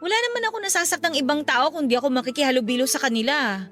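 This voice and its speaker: High-pitched, sulky young daughter. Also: female voice, rapid-fire, fairly bright tone, soft background music. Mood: defensive. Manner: defensive, sulky. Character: withdrawn, defensive young daughter, shy of people. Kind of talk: Tagalog radio drama dialogue.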